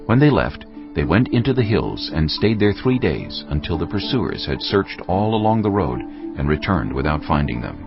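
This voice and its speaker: Man, narrator, gravelly, measured dramatic storytelling, music bed, slightly dull recording. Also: sits low, very animated. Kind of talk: scripted dramatic Bible narration.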